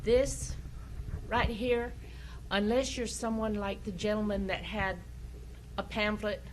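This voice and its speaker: A woman's voice: medium pitch, fairly even intonation, takes it slowly. A woman, a local resident, speaking politely and directly into a podium microphone at a council meeting. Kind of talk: public comment at a meeting. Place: city council chamber. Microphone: podium microphone on a stand. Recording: noisy council meeting recording.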